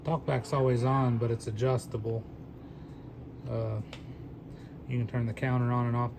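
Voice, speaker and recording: A man's voice: mid-range voice, background noise. A man giving a casual, plainspoken demonstration of used gear he is selling, talking off the cuff.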